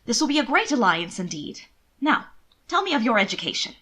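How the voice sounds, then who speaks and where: A woman voicing a warm, courtly male servant of a duke, formal and pleased, in a period story. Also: a little high, big pitch swings, fairly quick, voice pushed up, exaggerated voice. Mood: pleased, flattering.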